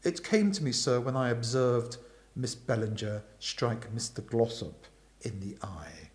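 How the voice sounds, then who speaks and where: A man voicing an unflappable, deferential valet who speaks in measured, polite, formal phrases. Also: medium pitch, natural voice. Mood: composed.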